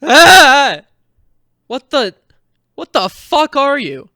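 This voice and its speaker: Woman as defiant frightened girl, very animated pitch, dry close sound, blunt, foul-mouthed, fantasy audio story. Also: very slow, dry recording, heavily acted. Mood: alarmed. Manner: blunt, sweary, exclamatory.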